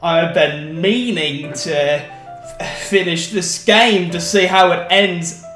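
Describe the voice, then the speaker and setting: A man's voice: high voice, takes it slowly, a bit bright. A man hosting a let's play interview in a goofy, over-eager and emotional manner. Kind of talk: goofy game interview chat. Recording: roomy echo, music behind.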